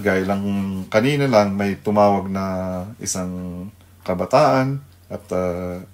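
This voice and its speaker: A man with a Filipino accent giving an unscripted devotional talk as a radio host, haltingly searching for words. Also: fairly low pitch.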